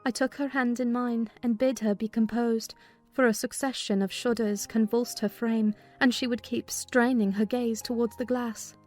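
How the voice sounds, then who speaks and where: A woman plays a sensible housekeeper telling a story from a classic novel. Her fairly high voice is measured in narration and turns firm and scolding in dialogue, over soft music. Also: steady tone, close to an everyday voice. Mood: measured, concerned. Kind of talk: dramatized first-person narration of a classic novel.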